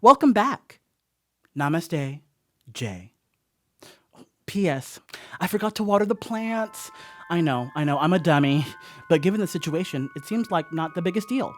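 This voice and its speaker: An actor playing a rattled, rambling house sitter in a radio drama monologue, conversational and self-excusing with emphatic swings. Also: male voice, fairly high pitch, expressive, unhurried, long pauses, a bit bright, slight background noise. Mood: sheepish, rambling. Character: rattled, rambling young male house sitter writing a confessional note. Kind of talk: dramatic first-person radio play monologue.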